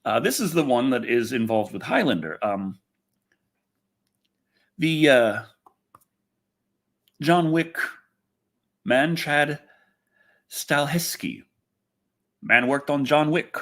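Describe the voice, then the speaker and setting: A man hosting a casual livestream chat, rambling and halting, often repeating his phrases and speaking bluntly. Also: mid-range voice, expressive, fairly bright tone. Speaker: podcast host.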